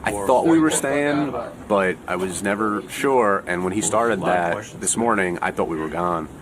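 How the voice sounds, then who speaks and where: Deep-voiced man amid loud chatter. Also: measured pace. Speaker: show staff member being interviewed. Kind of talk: casual interview answers. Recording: very noisy room, loud chatter.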